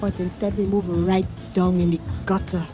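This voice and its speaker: A woman's voice: medium pitch, level tone, moderate pace, music in the background, close to an everyday voice. A woman acting a small, harassed, weary mother and neighbour in a radio play, plaintive and confiding, with a Trinidadian accent. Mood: bitter, heavy-hearted.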